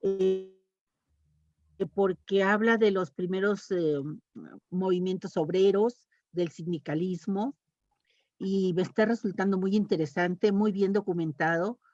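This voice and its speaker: Casting: woman, unhurried, casual conversational delivery, muffled audio. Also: mid-range voice, fairly even intonation, a little mellow, no room sound, soft background music. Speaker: panelist talking about reading habits. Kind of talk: casual conversational talk at a round table.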